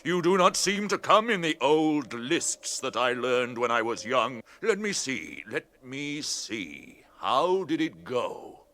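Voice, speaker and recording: An actor in a radio drama playing an ancient, ponderous Ent, a tree herder who speaks deliberately, humming and musing. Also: male voice, mid-range voice, big pitch swings, sharp tone, a little hiss or hum, exaggerated voice.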